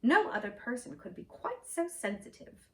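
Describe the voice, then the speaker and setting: A woman voicing a brisk, knowing storybook queen. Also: sits high, sing-song, darkish tone. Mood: composed. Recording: roomy echo, mic at a distance.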